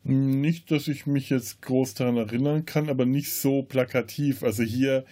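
A man's voice: medium pitch, steady tone, normal speed, dark tone. A man co-hosting a podcast, casually discussing film and often restarting his phrases. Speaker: podcast co-host.

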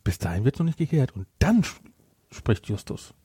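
A man's voice: mid-range voice, sing-song, moderate pace, dark tone, slightly rough, no echo, clean studio sound. A man co-hosting a podcast, chiming in casually with short remarks. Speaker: podcast co-host. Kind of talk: casual interjections and corrections.

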